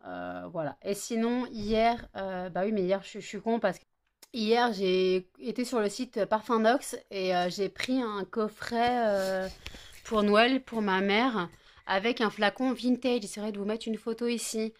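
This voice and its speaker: A woman's voice: mid-range voice, measured pace. A woman, chatting casually in a home vlog, tired and a bit stuffy with a cold, rambling with many fillers and self-corrections. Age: 30s. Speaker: vlogger.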